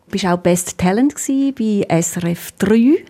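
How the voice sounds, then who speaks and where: A Swiss German woman leads a radio-style interview, asking friendly follow-up questions. Her voice sits in the middle range with a warm, mellow tone. She speaks from a basement rehearsal room. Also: mid-range voice, animated, a little slow.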